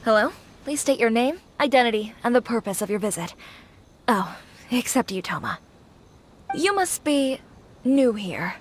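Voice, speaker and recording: A woman's voice: fairly high pitch, expressive, moderate pace, pauses often, a little hiss or hum, natural voice. Formal female checkpoint officer, played by an actress, bureaucratic, faint ambience behind. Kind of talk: video game voice acting.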